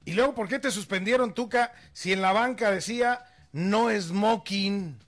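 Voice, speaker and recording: A Mexican man co-hosting a sports radio show, relaxed and easygoing as he gives his match predictions. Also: high-pitched, a little slow. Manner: relaxed, easygoing, hesitant fillers. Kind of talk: casual chat and match predictions.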